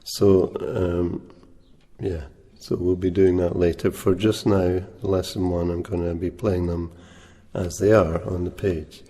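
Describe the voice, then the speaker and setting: A soft-spoken man teaching a guitar lesson, unhurried, pausing often with ums and uhs. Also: sits low, little pitch movement. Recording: reverberant room with guitar playing.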